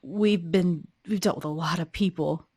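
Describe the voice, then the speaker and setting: A woman voicing an earnest, apologetic female survivor in an improvised tabletop role-play, speaking haltingly. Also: fairly low pitch, darkish tone, slightly rough, no room sound, clean studio sound. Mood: hesitant, apologetic. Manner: halting, hesitant.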